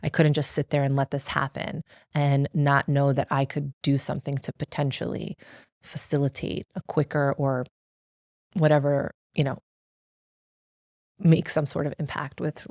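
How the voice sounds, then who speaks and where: Middle-aged American woman, deep voice, dry close sound; earnest interview guest who explains things carefully. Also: gravelly, darkish tone, no room sound. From United States. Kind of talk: reflective personal interview.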